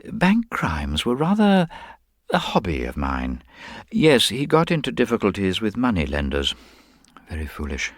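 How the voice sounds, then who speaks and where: A man's voice: on the low side, wildly swooping pitch, unhurried, dry recording, clean studio sound, full character voice. A man playing a mild, apologetic government investigator in a detective radio drama, hesitant, formal and precise. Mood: regretful.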